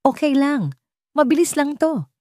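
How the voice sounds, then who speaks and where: A woman's voice: high voice, very animated, unhurried, dark tone, voice pushed up. A woman voicing an anguished, protective young father in an audiobook drama, speaking with restrained reassurance. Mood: forced calm. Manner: restrained, reassuring, forcing a normal tone. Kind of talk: dramatic audiobook character dialogue.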